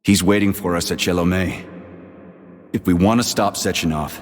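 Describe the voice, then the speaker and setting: An actor playing a gruff, foul-mouthed male army major who speaks with sarcasm. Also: low voice, moderate pace, close to an everyday voice.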